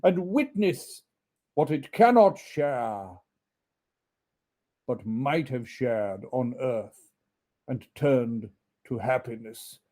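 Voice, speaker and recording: A man voicing the mournful, grave ghost of a dead businessman in a storybook reading, declaiming slowly and solemnly with drawn-out words. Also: mid-range voice, lively intonation, dark tone. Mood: solemn, wailing.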